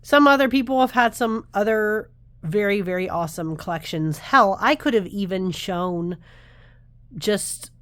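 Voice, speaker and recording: A woman's voice: mid-range voice, measured pace, fairly bright tone, no room sound. A woman in her thirties, slightly nasal while recovering from the flu, chatting to camera in a rambling, self-interrupting way as a tarot vlogger. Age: 30s. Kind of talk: casual chat to camera.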